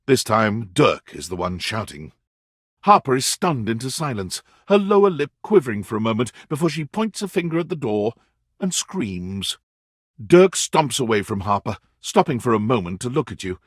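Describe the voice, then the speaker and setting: Husky, animated male game narrator. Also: mid-range voice, normal speed, no room sound, clean studio sound. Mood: dramatic storytelling. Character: male storybook-style narrator of a dating game. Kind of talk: scripted second-person story narration.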